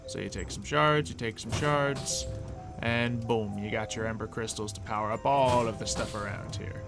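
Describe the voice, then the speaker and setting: A man hosting a gaming video, casually thinking aloud in a playful, excitable way as he plays. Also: on the low side, normal speed. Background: background music.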